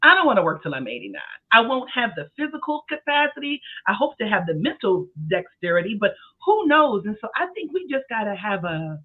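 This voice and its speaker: A man's voice: high voice, big pitch swings, a little fast, few pauses. A man in his forties, a podcast co-host, talking earnestly and thinking aloud in a casual discussion. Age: 40s. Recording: tinny phone-like sound.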